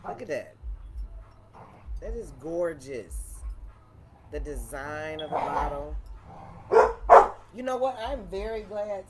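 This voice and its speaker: A woman with a deep voice chatting casually and playfully in a wine tasting vlog, repeating phrases for emphasis. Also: slight background noise. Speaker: vlogger reviewing wine.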